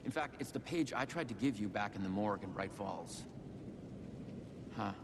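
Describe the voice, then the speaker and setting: Gravelly, haunted, determined man. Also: fairly low pitch, expressive, normal speed. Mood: obsessed, uneasy. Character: haunted, determined man chasing an elusive figure. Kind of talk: naturalistic video game acting. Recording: clear background ambient noise.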